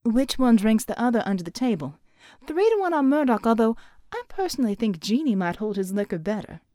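A woman voicing a quick-witted barmaid with a drawl, dry and flirty. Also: fairly high pitch, sing-song, warm tone, no echo. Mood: dry amusement.

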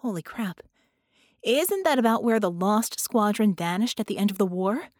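A woman voicing an intense, quick-thinking young female magician in an audiobook, talking urgently as if thinking aloud. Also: medium pitch, no room sound, studio quality, natural voice. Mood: startled, urgent. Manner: excited, urgent, thinking out loud. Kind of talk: audiobook character voice.

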